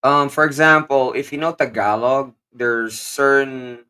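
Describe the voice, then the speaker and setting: A man's voice: mid-range voice, a little slow, bright, forceful tone, clear, resonant tone. A man with a Kapampangan accent, co-hosting a casual livestream and reading viewer comments aloud in a rambling way. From Philippines. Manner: rambling, lots of "um" and "you know what I'm saying", chuckles. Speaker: livestream co-host.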